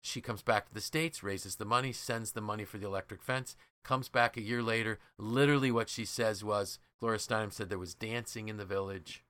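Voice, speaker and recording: A man's voice: lowish voice, a little fast, hardly any pauses, a bit bright, dry recording. A man hosting a podcast, telling stories conversationally in an enthusiastic, emphatic way and acting out quoted dialogue. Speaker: podcast host and leadership trainer.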